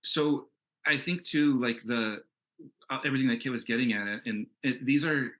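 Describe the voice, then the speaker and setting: A man's voice: mid-range voice, normal speed, a bit bright, a little hiss or hum. A man talking casually and enthusiastically as a comic book creator on a panel, rambling a little as he goes. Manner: rambling, enthusiastic, peppered with "like" and "you know". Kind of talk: casual, conversational panel discussion.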